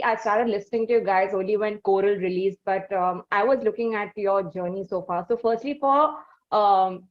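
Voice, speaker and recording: Female interview host, courteous, slightly dull audio. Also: mid-range voice. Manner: polite, courteous questioning. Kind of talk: music interview.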